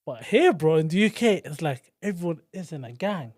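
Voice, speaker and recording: Quick, slangy man, slightly raised pitch. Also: sing-song, a little mellow. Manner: casual, slangy, says bro a lot. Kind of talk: casual podcast chat.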